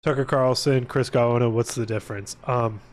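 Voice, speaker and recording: A man's voice: medium pitch, fairly even intonation, normal speed. A man live streaming, chatting casually to his viewers in an informal, rambling way with exasperated asides.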